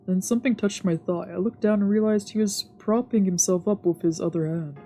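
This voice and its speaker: A woman's voice: mid-range voice, a little fast. A woman streamer reading visual novel narration aloud for a let's play. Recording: soft game music behind.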